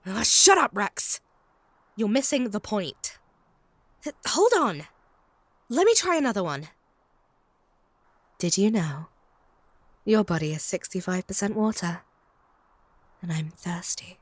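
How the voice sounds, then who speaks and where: A woman's voice: mid-range voice, lively intonation, slow pace, pauses often, no room sound. A woman playing a haughty, possessive, lovestruck vampire in a comedic audio roleplay, snide and theatrical. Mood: flustered. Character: possessive, lovestruck female vampire, bickering and flustered.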